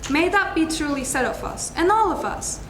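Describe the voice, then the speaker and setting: A woman's voice: high voice, animated, normal speed. A young woman giving a dramatic reading of a classic story as its narrator. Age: young adult. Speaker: student narrator. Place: indoor stage event at a university. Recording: echoey hall, faint noise.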